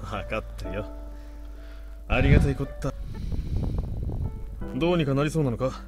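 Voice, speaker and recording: An actor playing a brash, caring father in a visual novel, with gruff, blunt, colloquial delivery. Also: medium pitch, lively intonation, quick pace, natural voice. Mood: grudging. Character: brash, rough-talking but caring father. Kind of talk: Japanese visual novel voice acting. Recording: game audio with clear background music. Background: music.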